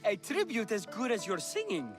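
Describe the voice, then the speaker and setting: Very high-voiced teasing playwright. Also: male voice, huge pitch swings, moderate pace, music in the background. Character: witty, teasing male playwright. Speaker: video game voice actor. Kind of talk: game cutscene dialogue.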